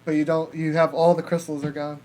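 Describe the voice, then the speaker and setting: A man with a noticeably high voice chats casually as a podcast co-host. He rambles and swears freely, in a joking style, and often giggles while he talks. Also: flat delivery, fairly quick, darkish tone, low-quality recording. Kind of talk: casual joking chat, riffing on ideas.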